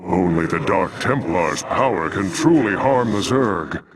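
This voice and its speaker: An actor playing a noble, calm and resolute alien commander in a video game, speaking formally and gravely. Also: male voice, deep voice, measured pace, far from the mic, noisy background. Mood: solemn, explaining. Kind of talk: scripted video game acting.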